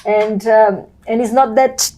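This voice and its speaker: Female host, Brazilian accent, native Portuguese speaker, mellow tone, effusive conversational interviewing. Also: on the high side, steady tone, measured pace. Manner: effusive, wordy, repeats phrases. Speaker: host of a video channel.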